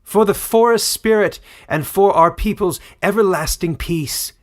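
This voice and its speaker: Man voicing a proud, high-pitched warrior queen. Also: unhurried. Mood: fierce, declaring. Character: proud, scheming warrior queen of a fantasy people.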